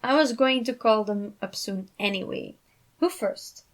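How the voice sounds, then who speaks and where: Woman voicing an earnest, expressive young man, lifted pitch. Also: a little slow, natural voice. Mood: reassuring. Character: earnest, caring young man, upbeat but concerned host. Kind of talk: acted dialogue in a fan fiction reading.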